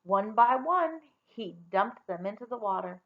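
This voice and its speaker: A woman reading a children's story aloud in an expressive storytelling style. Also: medium pitch, measured pace, gravelly, phone-quality sound.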